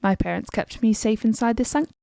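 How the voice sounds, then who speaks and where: A woman playing a wistful young assassin companion in a fantasy game, with a measured delivery. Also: on the high side, vocal fry, mellow, no room sound. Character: young female assassin follower, wistful about her sheltered past. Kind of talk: scripted fantasy game dialogue.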